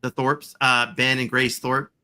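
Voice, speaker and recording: A man's voice: mid-range voice, level tone, unhurried, bright, forceful tone, clean tone. A man in his forties chatting casually, rambling with lots of fillers and the odd swear. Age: 40s. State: chronic back pain. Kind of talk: casual chat.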